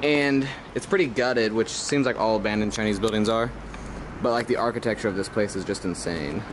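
A young man vlogging as he explores an abandoned building, talking casually and excitedly, with lots of fillers. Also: lowish voice, fairly quick, noisy background. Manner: excitable, filler-heavy, wide-eyed. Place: outdoors in an abandoned concrete building.

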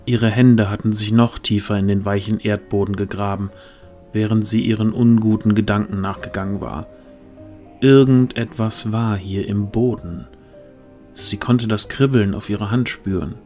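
A man with a fairly low voice narrates a fantasy audio play. The delivery is scripted storytelling. Faint music plays beneath the words. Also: fairly even intonation, normal speed, warm tone.

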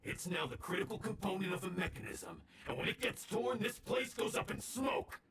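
An actor playing a scheming, taunting gang villain in a video game, gravelly and prone to panic. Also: male voice, high voice, expressive, normal speed, fairly bright tone, slight background noise. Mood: smug, gloating. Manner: theatrical, taunting.

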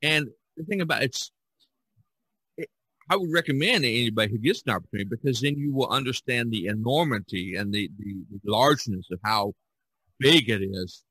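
A man hosting a podcast, talking casually about art in a rambling, halting way with frequent restarts. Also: medium pitch, lively intonation, pushed and bright, breathy edge, no room sound, rough recording. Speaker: podcast host discussing art. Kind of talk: casual conversational discussion.

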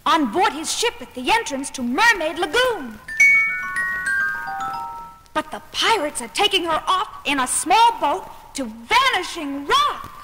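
A woman's voice: very high-pitched, sing-song, unhurried, over loud music, full character voice. A woman playing a brave, earnest young boy adventurer in a children's story recording. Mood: alarmed. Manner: urgent, earnest. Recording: reverberant with clear background noise.

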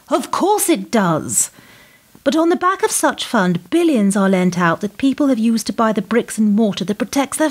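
Sardonic, high-voiced woman power broker played by an actress. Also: breathy edge. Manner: sardonic, condescending, rhetorical. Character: cool, menacing female establishment power broker. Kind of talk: radio play acting.

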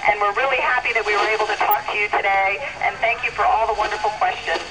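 A woman's voice: mid-range voice, steady tone, fast. A woman astronaut answering questions in plain, simple terms over an amateur two-way radio link. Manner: plain, simple explanations, signing off with radio procedure. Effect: two-way radio transmission. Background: radio noise.

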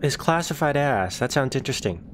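A man's voice: mid-range voice, expressive, fairly quick, slight background noise. A man making casual, joking commentary as he plays a game. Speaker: player commenting over game.

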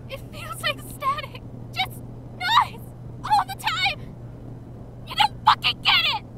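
A woman's voice: extremely high pitch, pushed and bright, slight background noise, pitched above the performer's own voice, full character voice, poor audio. A woman voicing a plucky young woman in withdrawal with dramatic, emotional delivery for a visual novel. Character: young woman in withdrawal, plucky but desperate when locked up. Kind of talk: visual novel character acting.

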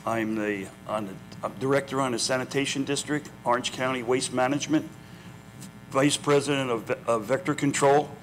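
A man's voice: medium pitch, a little fast, soft background music. A man in his sixties, a city councilman running for office, steadily listing his credentials in a panel debate on a lapel mic in a community hall. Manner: lists credentials, steady, with uh fillers. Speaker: political candidate, city councilman. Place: community center hall with audience.

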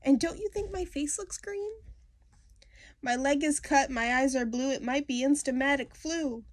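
A woman's voice: sits high, normal speed, no echo, pitched above the performer's own voice, natural voice. A woman voicing a whiny little girl who complains melodramatically about her ailments in a storybook poem. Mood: whiny, suffering.

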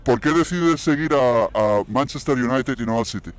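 A man's voice: mid-range voice, moderate pace, smooth. Informal Spanish man interviewing on the street. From Barcelona, Spain. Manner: informal, matey. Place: outdoors on a city bridge. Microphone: handheld interview microphone.

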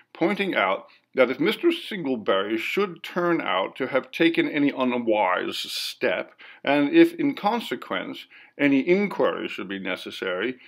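Male audiobook narrator, measured formal delivery, lively pitch movement, gravelly creak. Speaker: audiobook reader. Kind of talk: scripted narration of a classic ghost story.

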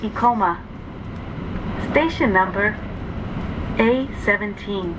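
Clear vehicle noise sits behind a woman on a train PA speaker. She reads a scripted announcement extremely slowly, with measured enunciation. Her pitch is medium. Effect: train PA loudspeaker. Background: train running noise.

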